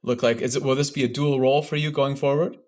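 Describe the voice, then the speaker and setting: A man's voice: mid-range voice, steady tone, fast talker, darkish tone. A man hosting a podcast, interviewing guests in a conversational way. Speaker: podcast host.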